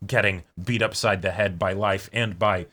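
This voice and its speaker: A man with a fairly low, bright voice co-hosts a casual show. He speaks like he is among friends, working out his thoughts as he goes with some halting repeats. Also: fairly even intonation. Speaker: reaction show co-host. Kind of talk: casual chat.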